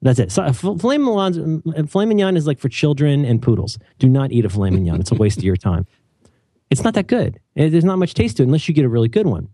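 A man hosting a casual chat, animated and opinionated, joking often and restarting his sentences. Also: a little high, fast, no room sound. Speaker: podcast host.

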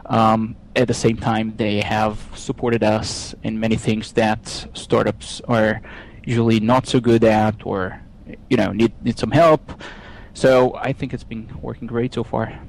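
Israeli-accented man, halting speech. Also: lowish voice, flat delivery, vocal fry, rough recording. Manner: halting, frequent restarts and fillers. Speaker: software developer guest. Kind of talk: casual podcast interview.

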